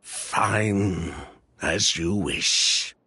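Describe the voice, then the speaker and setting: A man voicing a suave, guarded merchant in a video game, with a smooth, formal, condescending manner. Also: deep voice, very slow, pushed and bright, exaggerated voice. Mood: resigned. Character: suave, guarded male merchant with veiled menace. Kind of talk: scripted game voice acting.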